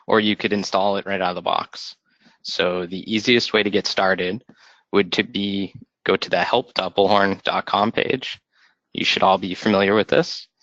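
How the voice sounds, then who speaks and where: A man walking listeners through a software installation in a steady, instructive way over a web call. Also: on the low side, dry recording. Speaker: software consultant giving a demo. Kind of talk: screen-share installation walkthrough. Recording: dry, dull lo-fi audio.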